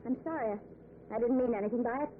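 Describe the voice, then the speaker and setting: Frightened, suspicious woman played by an actress, high voice, nervy and halting, old radio drama sound, tinny with hiss. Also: lightly acted. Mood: nervous, evasive. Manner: hesitant, anxious delivery. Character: frightened, suspicious woman traveling by car, on edge. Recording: noisy, thin old radio recording.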